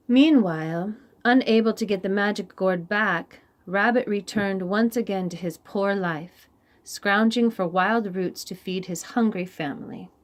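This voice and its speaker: A woman reading a children's story aloud in an expressive, deliberate and dramatic way, pausing for young listeners. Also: medium pitch.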